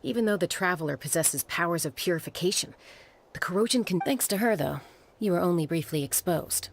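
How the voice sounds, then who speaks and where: Actress as a low-voiced, guarded warrior woman. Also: a little fast, a little hiss or hum, natural voice. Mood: matter-of-fact. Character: cool, knowing elder-sister warrior woman, guarded. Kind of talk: video game voice acting.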